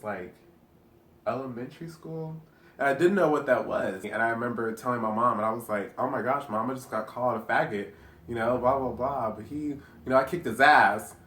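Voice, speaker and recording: A man in his twenties answering interview questions candidly and wryly, often trailing off softly. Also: medium pitch. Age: 20s. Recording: roomy echo, from a distance.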